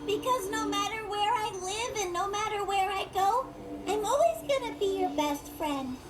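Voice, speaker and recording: Guy doing a tiny feisty girl racer, super high n swoopy, earnest storybook cartoon voice. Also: lively intonation, moderate pace, over music. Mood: heartfelt, tender.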